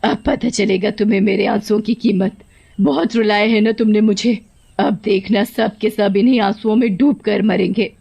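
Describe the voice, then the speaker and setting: A woman's voice: medium pitch, steady tone, normal speed, vocal fry, dark tone, breathy edge. A woman voicing a wronged young wife turned witch, tearful, aggrieved and threatening, in a cartoon story. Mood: vengeful.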